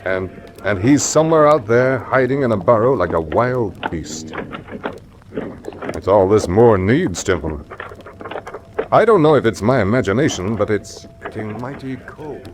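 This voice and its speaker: An actor playing a bold, eager baronet heir in a radio drama, hearty, emphatic and plain-spoken. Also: male voice, lowish voice, lively intonation, unhurried. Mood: uneasy. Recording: noisy background ambience.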